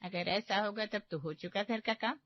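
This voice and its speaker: A woman voicing a haughty, demanding middle-aged mother-in-law in a cartoon, sharp-tongued, gossipy and sarcastic. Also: mid-range voice, moderate pace, dull audio, lightly acted. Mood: gossipy scorn. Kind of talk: animated moral-story cartoon acting.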